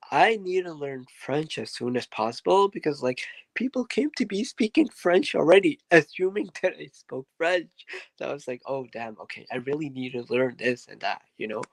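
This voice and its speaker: A man's voice: medium pitch, animated, moderate pace, vocal fry, no room sound. A man, a podcast guest, telling a story in a casual, rambling way with many fillers and asides.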